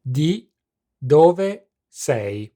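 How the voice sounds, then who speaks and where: A man reading out example phrases for a language lesson, slowly and with careful, distinct pronunciation. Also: fairly high pitch, sing-song, warm tone.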